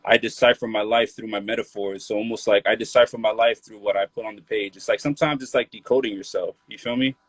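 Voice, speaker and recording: A man's voice: fairly low pitch, steady tone, fast talker, few pauses, no echo. A man in his late twenties, a rapper from Maryland, talking loosely in a casual interview, full of "like" and "you feel me". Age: 20s. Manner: laid-back, loose, peppered with "like" and "you feel me".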